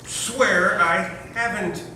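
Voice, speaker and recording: A man's voice: sits high, drawn-out words, pushed and bright, heavily acted. A man playing a bewildered, blustering new father in a stage reading of an Edwardian farce, exclaiming indignantly. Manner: exclamatory, indignant, mildly swearing. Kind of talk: readers theater comedy acting. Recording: echoey room from far off, faint noise.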